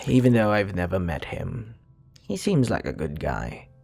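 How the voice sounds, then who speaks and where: A man playing a charming, courteous, flirty vampire bartender in an intimate ASMR roleplay, speaking in a breathy, gravelly voice that often drops to a whisper. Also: low voice, wildly swooping pitch, darkish tone, faint music behind, exaggerated voice. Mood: soft, sympathetic. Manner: suave, courteous, teasing, unhurried.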